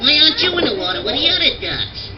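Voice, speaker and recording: Cocky mocking cartoon rabbit guy, bouncy high voice, sounds far off and muffled. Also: very animated, quick pace. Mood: smug. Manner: brash, boastful, mocking. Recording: dull lo-fi old record audio, distant, music behind.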